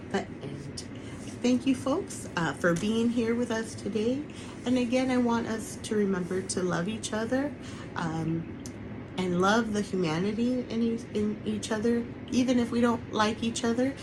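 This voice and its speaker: Woman reading a picture book, noisy recording. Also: mid-range voice. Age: adult, parent of a young son. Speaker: author reading own picture book aloud. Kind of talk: children's picture book read-aloud. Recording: clearly noisy online webinar recording.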